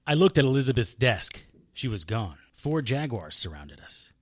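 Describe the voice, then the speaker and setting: A man's voice: mid-range voice, sing-song, normal speed. An actor playing a wry, impulsive, tough detective who narrates his own story in an audio drama. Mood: tense narrating. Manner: wry, hard-boiled. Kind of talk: first-person audio drama narration and acting.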